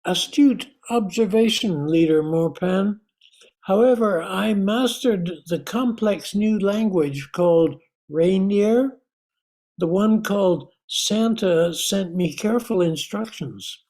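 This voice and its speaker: A woman playing a deferential alien chief counselor in an amateur radio play, formal and deliberate. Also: mid-range voice, mellow. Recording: noisy amateur recording with clicks.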